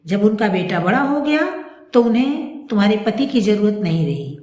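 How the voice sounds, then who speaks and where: A woman's voice: mid-range voice, big pitch swings, moderate pace, a little mellow, lightly acted. Indignant, protective best friend played by a female storyteller, emphatic and scolding, swooping intonation, roomy story recording. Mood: grave, reproachful. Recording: echoey room.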